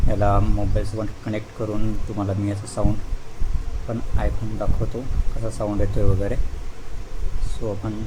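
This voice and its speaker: Guy doing a casual how-to, really flat delivery, buzzy noise behind him. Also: lowish voice. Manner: informal, addresses viewers as friends, mixes in English words. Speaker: vlogger giving a product installation tutorial. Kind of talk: casual step-by-step hands-on demonstration.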